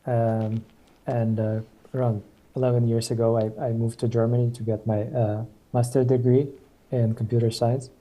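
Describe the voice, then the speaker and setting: A man's voice: mid-range voice, flat delivery, moderate pace, dark tone, low-quality recording. A man in his thirties from Ukraine, speaking as a guest on a video call in a hesitant, casual way with many fillers and restarts. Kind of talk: casual webinar conversation.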